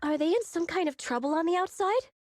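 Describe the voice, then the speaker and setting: A brash young female gang boss for an animated game cutscene. Her voice is extremely high and swings energetically, commanding and exasperated. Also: fast talker, no echo, clean studio sound. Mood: worried. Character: bossy, brash young woman gang leader.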